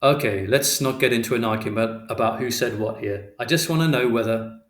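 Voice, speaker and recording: Storybook police officer done by a male narrator: calm, official, brisk, slightly raised voice, roomy echo. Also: mid-range voice, lightly acted. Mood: firm, reasonable.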